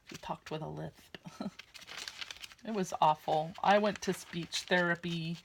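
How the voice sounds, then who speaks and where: A woman with a slight lisp and a loud, carrying voice, chatting casually and rambling a bit while she works on a craft project at home. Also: fairly low pitch, steady tone, a little slow, creaky, noisy background. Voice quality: loud voice that carries. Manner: chatty, rambling, with frequent fillers. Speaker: crafting vlogger.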